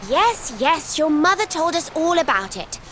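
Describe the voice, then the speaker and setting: A woman playing a bossy, feisty little girl in a video game, sharp-tongued, haughty and quick to scold. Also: high voice, very animated, a little hiss or hum. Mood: scolding, impatient. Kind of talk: video game character acting. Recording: music behind the voice.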